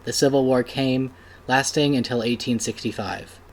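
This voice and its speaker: Man reader, plain even narration, mid-pitched voice. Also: normal speed, slight background noise. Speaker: reader at a church table reading. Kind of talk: reading an essay aloud.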